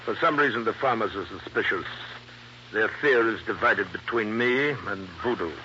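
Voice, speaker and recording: An actor playing a cold, self-assured plantation owner in an old radio drama, clipped and businesslike. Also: male voice, lowish voice, normal speed, bright, forceful tone. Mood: cool, defensive. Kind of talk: 1950s radio drama acting. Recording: dull old radio recording, faint hum.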